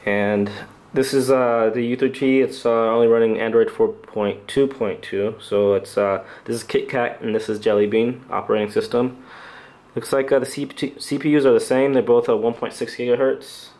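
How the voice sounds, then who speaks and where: A man with a medium-pitched voice hosts an unscripted tech review. He talks off the cuff, halting and hesitating as he works through his commentary. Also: fairly even intonation, darkish tone. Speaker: tech reviewer and channel host.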